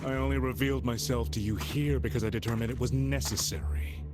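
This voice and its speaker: An actor playing an arrogant, enigmatic scholar-villain in a video game, speaking in a measured, pompous, theatrical way. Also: male voice, mid-range voice, steady tone, lightly acted. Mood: haughty disdain. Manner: measured, pompous, philosophical delivery. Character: arrogant, enigmatic male scholar-villain, coolly obsessive and grandiose. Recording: loud music behind the voice. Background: loud game music.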